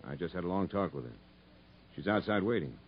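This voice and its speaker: An unyielding prison camp captain, a man with a low, rough-edged voice that swings forcefully up and down. He is blunt and commanding in the style of 1950s radio drama. The old broadcast sounds muffled. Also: lively intonation, a little fast, a little hiss or hum. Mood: scolding.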